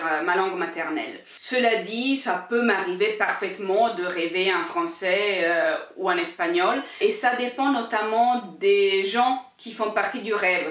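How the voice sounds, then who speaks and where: A woman whose first language is Italian, chatting through viewer questions in French at a middle pitch. She talks thoughtfully, with frequent pauses and repeated words. Her voice sounds somewhat distant from the microphone, with noticeable room echo. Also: a bit bright. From Italy. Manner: thoughtful, frequent hesitations and repetitions. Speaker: book channel host. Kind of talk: casual answers to viewer questions.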